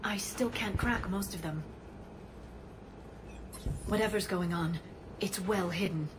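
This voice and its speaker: An actress playing a gentle young alien scientist who speaks softly and earnestly, worried for her people. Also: medium pitch, slow, background noise, close to an everyday voice. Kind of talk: video game cutscene acting.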